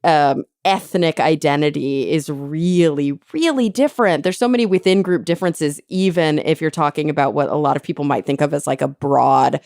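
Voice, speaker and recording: A low-pitched woman in her thirties hosts a casual interview, talking loosely and thinking out loud with plenty of fillers. Her voice is close and dry, with no room sound around it. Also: normal speed, few pauses, no echo. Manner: chatty, thinks aloud with many fillers. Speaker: podcast host.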